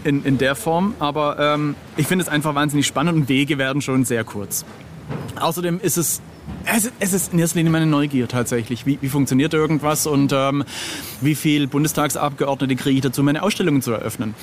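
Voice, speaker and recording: A man's voice: on the high side, a little fast, slight background noise. A middle-aged man talking casually as an interview guest, hesitant and drily self-deprecating. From Germany.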